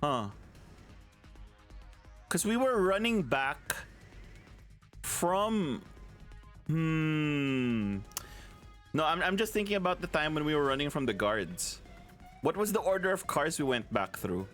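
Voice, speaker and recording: A man's voice: on the high side, very animated, takes it slowly, long pauses, a bit bright, faint music behind. A man doing casual let's play commentary, wry and often muttering as he thinks things through.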